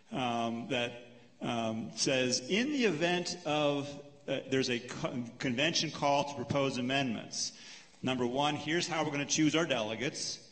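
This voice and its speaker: A man moderating a lecture Q&A, paraphrasing a question and answering it in a measured, explanatory way, counting off his points. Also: mid-range voice, fairly bright tone. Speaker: panel moderator. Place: lecture hall. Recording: long hall reverb.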